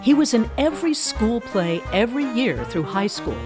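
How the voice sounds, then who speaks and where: A woman's voice: on the high side, sing-song, normal speed. A woman playing a polished, knowing narrator, reading scripted mock-documentary storytelling. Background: music bed.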